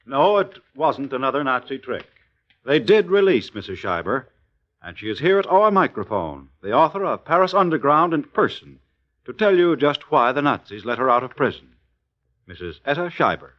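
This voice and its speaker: A man's voice: medium pitch, big pitch swings, moderate pace. A man announcing in a dramatic, scripted 1940s broadcast style. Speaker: radio announcer. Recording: dull 1940s radio recording.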